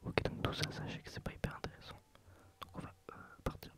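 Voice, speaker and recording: A man with a head cold whispering a rambling, soft ASMR game playthrough close to the mic. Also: fast talker. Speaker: gameplay ASMR creator. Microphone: close ASMR microphone.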